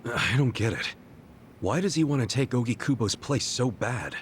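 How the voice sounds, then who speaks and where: A man's voice: medium pitch, lively intonation, normal speed, slight background noise, lightly acted. An actor playing an earnest, impulsive young male hero who keeps blurting out questions. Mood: baffled.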